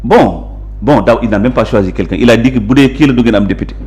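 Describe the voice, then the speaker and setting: A man's voice: medium pitch, fast talker, darkish tone. Assertive man with a Senegalese accent. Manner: assertive, emphatic, explanatory. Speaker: interview guest, local politician. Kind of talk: conversational interview, mixing Wolof and French.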